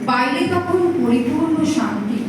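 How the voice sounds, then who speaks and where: A woman's voice: sits high, animated, normal speed, background noise. A woman giving dramatic scripted narration for an audio play. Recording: very echoey, distant, loud music underneath.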